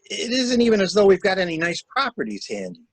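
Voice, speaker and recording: Man acting a wry, probing stranger, animated delivery. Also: sits high, fairly quick, dry recording, heavily acted, rough recording. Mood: urgent, pressing. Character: shrewd, wry male stranger coaching a new widow. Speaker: library staff reader. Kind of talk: dramatic reading of a murder mystery.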